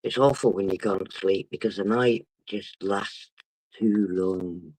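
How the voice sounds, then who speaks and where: A man's voice: low-pitched, takes it slowly, a little mellow, husky, low-quality recording. A man from England with a British accent, casually chatting with viewers on a late-night phone stream because he can't sleep. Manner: chatty, greets viewers by name. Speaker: livestream host.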